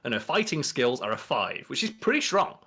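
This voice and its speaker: A man's voice: medium pitch, very animated, fairly quick, sharp tone, slightly rough. A man, around his thirties, co-hosting a casual podcast, explaining things in an emphatic, sweary way. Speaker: podcast co-host. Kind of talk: casual banter, explaining pop culture.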